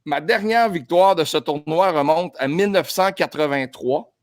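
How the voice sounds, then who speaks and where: A man's voice: a little high, moderate pace. A man with a Québécois accent hosting a casual podcast, reading quiz clues with an upbeat, playful announcer tone. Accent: Québécois French. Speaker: podcast host running a golf quiz.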